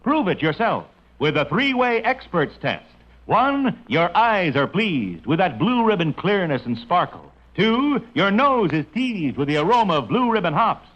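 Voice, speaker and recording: A man's voice: high voice, sing-song, unhurried. A man delivering scripted 1950s radio advertising as a commercial announcer. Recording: dull, lo-fi old radio recording.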